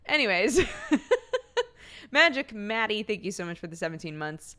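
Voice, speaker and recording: A woman's voice: mid-range voice, sing-song, normal speed, pushed and bright. A woman streamer with a slightly phlegmy throat, chatting casually, laughing often and thanking subscribers one by one. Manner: giggly, laughs often between lines.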